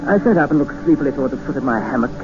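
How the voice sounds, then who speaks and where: A man's voice: medium pitch, lively intonation, rapid-fire, background noise, lightly acted. An actor playing a young English explorer who narrates his own story in a 1950s radio drama, wry in tone. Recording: dull old radio broadcast with music.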